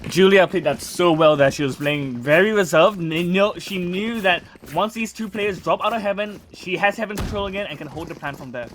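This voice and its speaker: A man's voice: sits high, fairly quick, hardly any pauses, a bit bright. A man giving casual, analytical color commentary on an esports match. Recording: noisy background.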